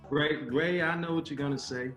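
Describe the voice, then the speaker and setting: A proud, stubborn middle-aged family man played by a male actor in a dramatic table read. His voice sits at an ordinary male pitch and can turn tender. The room echoes around him, with faint music behind. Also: steady tone, normal speed, fairly bright tone, close to an everyday voice. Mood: stubborn, teasing.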